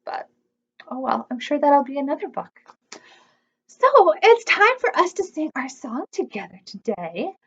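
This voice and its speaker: Female children's storytime host, high animated voice, unhurried, slightly dull lo-fi recording. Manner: expressive, simple child-directed phrasing. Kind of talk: animated read-aloud storytime for preschoolers.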